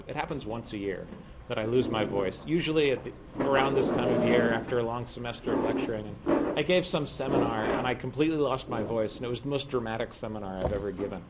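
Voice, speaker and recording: A middle-aged man lecturing on physics to a class, speaking softly and hoarsely because he has a cold and is losing his voice, with frequent ums. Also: mid-range voice, noisy background. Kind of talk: university lecture. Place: lecture room.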